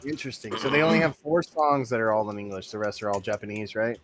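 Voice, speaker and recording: A man's voice: on the low side, fairly even intonation, quick pace, a bit bright. A man co-hosting a livestream panel, teasing the others and laughing often. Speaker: livestream co-host. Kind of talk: casual chat.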